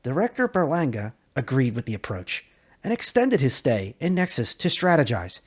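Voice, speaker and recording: Male narrator for sci-fi lore read, husky tone, emphatic and theatrical, widely varied intonation. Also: medium pitch, sing-song, normal speed. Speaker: narrator, lore video creator. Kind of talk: dramatic reading of science fiction lore.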